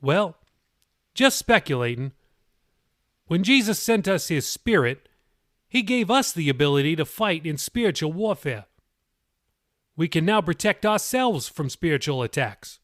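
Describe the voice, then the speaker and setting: A man's voice: a little high, fairly bright tone, dry recording, studio quality. Man reading as an earnest, explaining pastor. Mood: explaining, speculative. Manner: didactic, reasoning aloud. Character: earnest explaining male pastor, scripture-minded.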